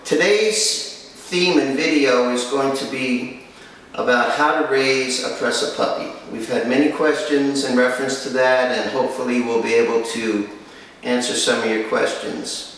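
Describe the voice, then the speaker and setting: A man's voice: mid-range voice, fairly even intonation, normal speed, a bit bright. Man presenting in a very echoey room. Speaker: kennel owner and host. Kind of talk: presenting and interviewing for a dog training video. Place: room in a home. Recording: echoey room, distant mic, faint noise.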